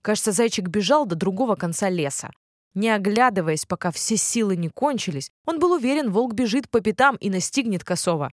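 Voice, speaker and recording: Animated woman reading a children's fairy tale. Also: medium pitch, brisk, no echo. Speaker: storyteller. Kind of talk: expressive children's bedtime fairy tale narration.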